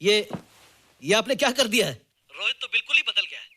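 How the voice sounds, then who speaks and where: A high-voiced, amazed priest principal. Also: male voice, sing-song, a little fast, noisy background. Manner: formal. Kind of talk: Hindi film drama dialogue. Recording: film dialogue under music.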